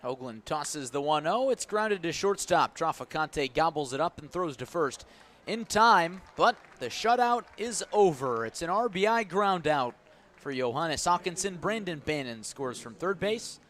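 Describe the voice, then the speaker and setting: Male baseball play-by-play announcer, slightly high-pitched, live radio commentary delivery. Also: expressive, measured pace, fairly bright tone.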